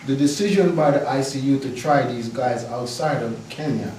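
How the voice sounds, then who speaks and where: A young man from Jamaica, raised in the United States, poses a measured question from the audience. He is heard from afar in a strongly echoing hall, with faint noise behind. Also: mid-range voice, a little mellow. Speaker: audience member asking a question. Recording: echoey hall, distant mic.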